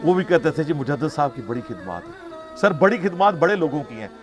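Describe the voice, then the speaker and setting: A man's voice: on the high side, very animated, a little fast. A Pakistani man giving an informal religious lecture, arguing in a sarcastic, conversational way with rhetorical questions. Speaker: Islamic preacher and lecturer. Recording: music playing behind the voice. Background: music.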